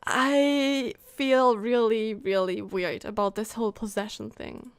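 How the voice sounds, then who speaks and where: High-voiced, slow-paced woman streamer. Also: clear, resonant tone, no echo, studio quality. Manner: expressive, thinks aloud, halting. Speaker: game streamer. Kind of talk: casual live reaction commentary.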